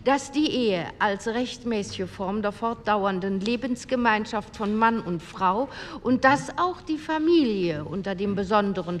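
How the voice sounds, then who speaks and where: An actress playing an earnest conservative woman politician of 1948, giving a measured, formal speech in parliament. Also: fairly high pitch, a bit bright. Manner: measured, matter-of-fact, formal. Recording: long hall reverb, clear background noise.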